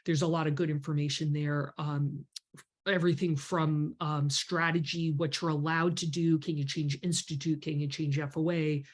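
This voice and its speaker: Congested woman in her 50s, low-pitched, chatty host explaining on a home video call. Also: little pitch movement, normal speed. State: congested from a cold. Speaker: grant writing mentor hosting a members call. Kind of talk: casual webinar talk with many ums. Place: home office with bookshelves. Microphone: webcam on a video call.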